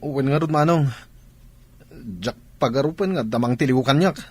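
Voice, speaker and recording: A man playing a lazy, scheming married younger brother in a Filipino radio comedy-drama, with a husky voice and a Filipino accent. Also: mid-range voice, brisk, lightly acted. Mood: defensive.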